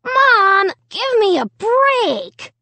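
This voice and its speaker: A woman's voice: high-pitched, huge pitch swings, a bit bright, no echo, voice pushed up, full character voice. A woman voicing a sulky, sneering young boy who whines and mutters in a storybook. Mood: whining protest. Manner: sulky muttering and whining, drawn-out words. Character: defiant, whiny, sneering little boy, baby of the family.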